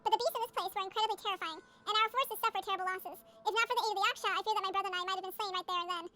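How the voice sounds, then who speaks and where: A woman's voice: very high voice, steady tone, rapid-fire, bright, forceful tone, slight background noise. A woman streamer reading game text aloud as she plays.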